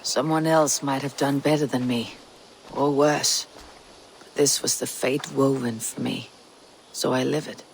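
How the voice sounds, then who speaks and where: An actor playing a blunt, stoic Viking raider in a video game cutscene, speaking in a measured, solemn way. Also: male voice, medium pitch, steady tone, creaky, a little hiss or hum, low-quality recording. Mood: solemn, consoling.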